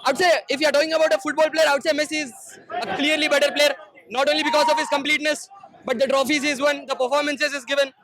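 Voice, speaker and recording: A man from Hyderabad answering a reporter fluently in English, with relaxed fillers. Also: very high-pitched, fast talker. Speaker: interviewed fan. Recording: busy crowd behind. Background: crowd noise.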